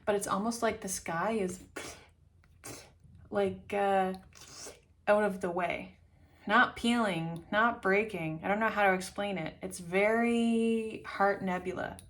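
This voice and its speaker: A woman's voice: mid-range voice, fairly even intonation, slow. A woman giving an intuitive reading straight to camera, meandering and chatty, musing aloud as images come to her. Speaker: tarot reader.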